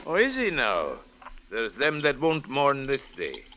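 An actor playing a crafty old waterfront dice-game runner with folksy, sailor-like phrasing in an old radio drama. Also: male voice, mid-range voice, wildly swooping pitch, unhurried, background noise. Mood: sly, gruff. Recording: vintage radio recording with background crowd.

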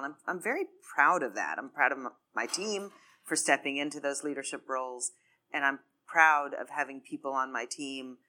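Low bright woman, earnest panel talk, lots of restarts. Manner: earnest, frequent restarts and repeated words. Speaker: marketing leader on a panel. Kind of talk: live podcast panel talk.

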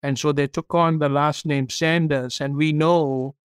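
Casting note: middle-aged man from Sri Lanka, podcast guest, smooth voice, flat steady delivery. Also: a little high, a little slow, dry recording, clean studio sound. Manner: steady, earnest storyteller. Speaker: podcast guest, company CEO. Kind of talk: interview storytelling.

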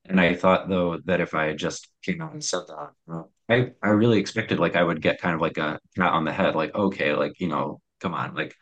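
Very deep-voiced young man, hesitant. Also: fairly quick, few pauses, a bit bright, highs cut off. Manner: hesitant, many fillers and restarts. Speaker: guest telling his story. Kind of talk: reflective personal storytelling.

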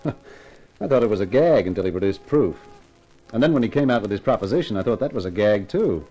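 An actor playing a cocky, wisecracking hard-boiled private eye with a breezy, wry delivery in a 1950s radio drama. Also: male voice, lowish voice, mellow, slightly rough, low-quality recording. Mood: wry, musing. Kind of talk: 1950s radio detective acting with narration.

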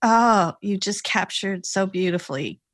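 A middle-aged woman hosts a casual podcast chat, gushing and halting, circling back with likes and restarts. Her voice sits close and dry. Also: medium pitch, animated, a bit bright, no echo. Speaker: podcast host.

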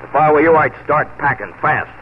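A self-important Old West sheriff, played by a man, curt and hostile. His voice sits a little high for a man. It comes from a dull old radio drama recording with light noise. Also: fairly quick, close to an everyday voice. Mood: smugly threatening. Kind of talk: 1940s Western radio drama acting. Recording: muffled, noisy 1940s radio recording.

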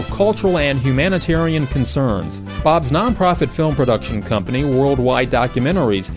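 A middle-aged man presents a radio programme in a scripted style. His pitch is a little high and moves in a lively way, with music clearly playing behind. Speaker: radio co-host.

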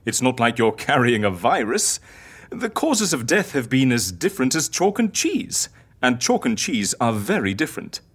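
A woman's voice: rumbling low, very animated, normal speed, husky, exaggerated voice. An actress in an audio drama playing a fussy, eccentric, sceptical woman who reassures with hesitant patience and dry wit. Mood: reasoning.

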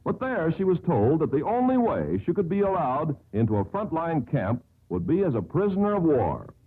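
Very animated American radio announcer, vintage sound. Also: male voice, a little high, moderate pace, slightly rough. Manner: earnest, formal delivery. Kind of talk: 1950s scripted radio narration. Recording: thin, phone-like old radio broadcast.

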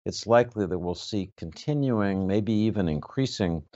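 A man moderating an interview, putting formal questions in a measured, deliberate and somewhat hesitant way. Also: lowish voice, animated, a little mellow. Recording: dull, lo-fi audio.

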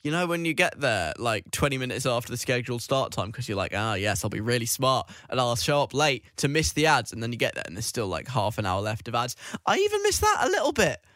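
A man's voice: medium pitch, sing-song, fast, few pauses, pushed and bright, dry recording, studio quality. A man presenting a radio show in a casual, rambling, tongue-in-cheek conversational way.

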